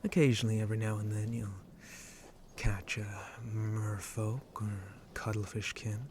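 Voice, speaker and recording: A man playing a flirty, roguish shark-man pirate captain in an ASMR roleplay, with a breathy, often whispered voice and teasing pirate speech. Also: flat delivery, noisy background, lightly acted. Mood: instructive, roguish. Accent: put-on pirate speech. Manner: slow, teasing, drawling pirate talk with low chuckles. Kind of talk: ASMR roleplay addressed to the listener.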